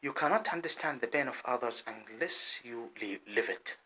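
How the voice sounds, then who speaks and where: Man, fiery monologue to camera, repeats himself, jumps from loud bursts to low mutters, bright and tense tone. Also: expressive, normal speed. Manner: heated, repetitive, swings between loud outbursts and low muttering.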